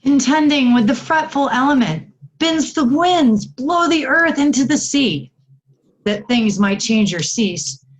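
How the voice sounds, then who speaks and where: A woman acts a troubled gentleman bringing news in a Shakespeare play. The voice is high and dramatic, with big swings in pitch. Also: lively intonation, measured pace, low-quality recording. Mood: urgent. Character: gentleman messenger, urgent and troubled. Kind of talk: theatrical Shakespearean reading.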